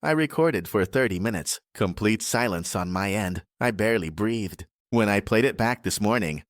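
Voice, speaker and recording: A man narrating a scripted first-person horror audiobook in a measured, deliberate way. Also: on the low side, no room sound, clean studio sound.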